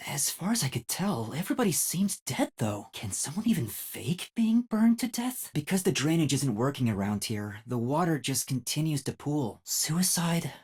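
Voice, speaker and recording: A man's voice: a little high, husky. A man voicing a timid, earnest young detective in an English video game, light and boyish, hesitantly thinking aloud.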